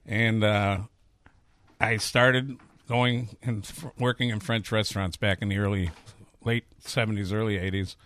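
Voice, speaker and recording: A man's voice: lowish voice, fairly even intonation, measured pace, fairly bright tone. A man in his fifties with a Chicago accent, a chef talking candidly and rambling through stories in a casual interview. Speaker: chef and restaurateur.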